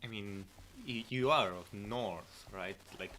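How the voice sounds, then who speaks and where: A man doing casual live-stream commentary, hesitant, with many fillers and restarts, on a cheap low-volume mic. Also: slow pace, pushed and bright. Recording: faint static hiss.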